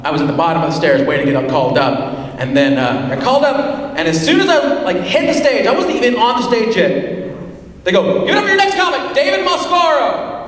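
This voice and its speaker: A man's voice: high voice, big pitch swings, brisk. A young American man doing conversational, self-deprecating stand-up comedy from a stage. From United States, Chicago. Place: theater stage. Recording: echoey hall, distant mic, audience noise.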